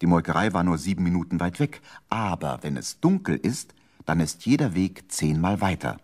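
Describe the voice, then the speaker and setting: A man's voice: deep voice, lively intonation, moderate pace, darkish tone. A man narrating a children's radio play in a playful, dramatic storytelling manner. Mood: suspenseful.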